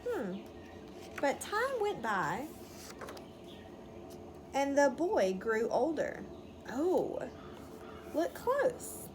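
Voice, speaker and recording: A woman teacher reading a picture book aloud to young children, pausing to explain words simply and ask questions. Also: high voice, wildly swooping pitch. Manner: instructive, explains words simply, checks understanding. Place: outdoors. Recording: clearly noisy outdoor ambience, wind chime. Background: birds chirping, wind chime.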